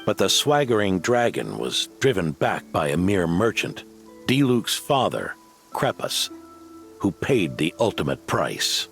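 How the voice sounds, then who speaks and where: Deep, gravelly old knight. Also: male voice, a bit bright, heavily acted. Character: old knight, weathered, wry and solemn storyteller. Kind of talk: video game voice acting. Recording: game music behind the voice.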